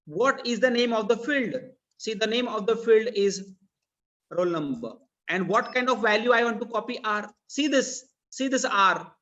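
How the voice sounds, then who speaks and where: A man's voice: high voice, expressive, moderate pace, a bit bright. A man with an Indian accent teaching programming in a live online lesson, explaining didactically and repeating phrases for emphasis. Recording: dull, lo-fi online meeting audio.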